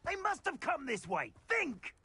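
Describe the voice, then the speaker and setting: A man voicing a menacing criminal henchman in a video game, with a raspy voice and a rough, slangy, threatening manner. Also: very high voice, big pitch swings, a little slow, bright, forceful tone, exaggerated voice. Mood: impatient, menacing. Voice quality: raspy, gravelly. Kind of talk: video game combat dialogue.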